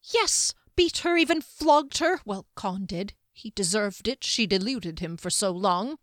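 A woman's voice: mid-range voice, animated, measured pace, dry recording, clean studio sound, natural voice. A woman voicing a timid male henchman who whines and panics, his voice climbing toward a shriek. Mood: defensive.

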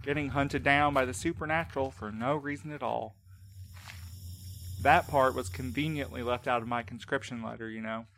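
A man's voice: medium pitch, steady tone, normal speed, a bit bright, slight background noise, natural voice. A man playing a sarcastic, embittered young courier in an audio drama, blunt and exasperated in a naturalistic style. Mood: sardonic.

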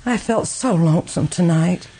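An actress playing a grief-stricken, obsessive young widow in an old-time radio drama, pleading in a fervent, melodramatic way. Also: female voice, fairly low pitch, moderate pace, warm tone, husky, rough recording. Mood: wistful, lonely.

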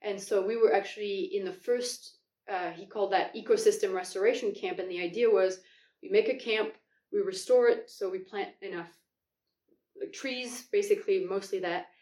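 A woman from France speaks at a middle pitch, enthusiastic but halting, often restarting and stretching out words as she tells her story. The mic sits some way off in an echoey home kitchen. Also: a little mellow. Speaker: guest. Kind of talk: casual conversational storytelling. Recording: echoey room, far from the mic.

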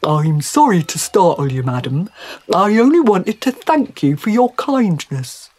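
A kindly gentleman trout from a storybook, acted out by a woman, speaking in a husky voice with lively swoops. He is courteous and old-fashioned, and never hurries his words. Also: on the low side, measured pace. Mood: courteous, amused. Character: polite, kindly male talking trout granting wishes.

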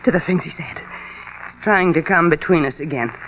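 A woman playing a possessive, shrill jealous woman. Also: normal speed, a little hiss or hum, close to an everyday voice, low-quality recording. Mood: pleading. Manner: clinging, then shrill and accusing. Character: possessive, jealous woman guarding her sister. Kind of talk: 1940s melodrama acting.

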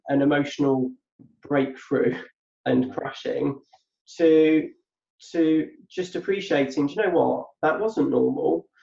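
A man's voice: fairly high pitch, gravelly, a little mellow. A middle-aged man talking reflectively as an interview guest, often repeating words while he gathers his thoughts. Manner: halting, repeats words while thinking aloud. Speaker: podcast guest. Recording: dull roomy sound from off-mic.

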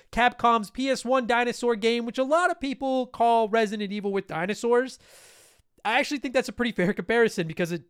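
A man's voice: high-pitched, a bit bright, clean tone, no room sound, clean studio sound. A man in his thirties hosting a retro gaming podcast, stuffy from allergies, rambling and swearing casually. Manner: fast, rambling, profane. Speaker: podcast host. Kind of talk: casual podcast intro monologue.

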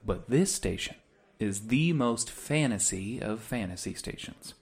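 An actor playing a sarcastic young freedom fighter in a sci-fi audio drama. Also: male voice, fairly low pitch, lively intonation, a little slow. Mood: wry.

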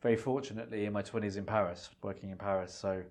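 A man's voice: fairly low pitch, steady tone, quick pace, a little mellow. A middle-aged man whose first language is Punjabi, speaking as an interview guest in a reflective, rambling way with many fillers and restarts. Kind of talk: relaxed conversational interview.

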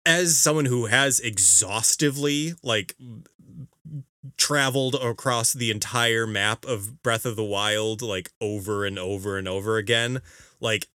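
A man co-hosting a casual video game podcast, talking emphatically with halting explanations and exasperated teasing. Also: lowish voice, bright, forceful tone, no echo, clean studio sound. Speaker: podcast co-host.

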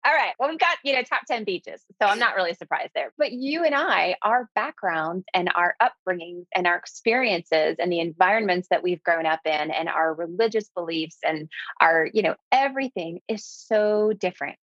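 A woman's voice: fairly low pitch, normal speed, hardly any pauses, no room sound. Emphatic woman guest, dull lo-fi sound. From southeastern United States. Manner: emphatic, repeats key words, animated delivery. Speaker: guest, business owner. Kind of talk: conversational interview.

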